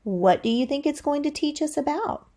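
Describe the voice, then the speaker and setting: A woman teacher reading aloud to young children in a deliberate, expressive way, thinking aloud and putting questions to her listeners. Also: high-pitched.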